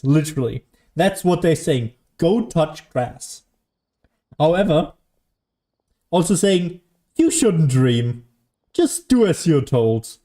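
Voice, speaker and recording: Very mellow, wry gaming commentator. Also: mid-range voice. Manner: dry, joking, wry. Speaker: gaming video maker. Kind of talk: casual game playthrough commentary.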